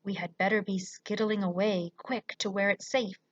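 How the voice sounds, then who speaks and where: A woman voicing a gentle male storybook giant, speaking earnestly and often hushed, in quaint muddled grammar. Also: mid-range voice, steady tone, normal speed, dry recording, highs cut off, close to an everyday voice. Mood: cautious.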